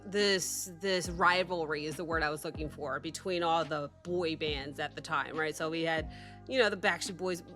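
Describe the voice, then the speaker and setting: Bright chatty woman with a sickly cough. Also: low voice, normal speed, soft background music. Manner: chatty and excitable, rambling with fillers and restarts. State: sick with a cough, recovering voice. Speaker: music reaction host. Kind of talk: casual chat to camera.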